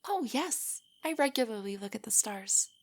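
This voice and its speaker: A woman playing a shy, nerdy young girlfriend in an intimate audio roleplay, soft and breathy, stammering with nerves. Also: a little high, big pitch swings, normal speed. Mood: awed, soft. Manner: nervous, stammering, hesitant rambling. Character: shy, nerdy, easily flustered young adult girlfriend on a date.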